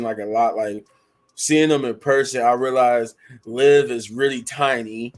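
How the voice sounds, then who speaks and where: A hoarse man giving a casual, rambling wrestling review, full of fillers and restarts. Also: medium pitch.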